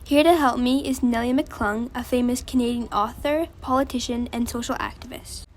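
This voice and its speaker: Woman as 1920s radio show host: high voice, upbeat, polite scripted delivery. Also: steady tone, moderate pace, a little hiss or hum, lightly acted.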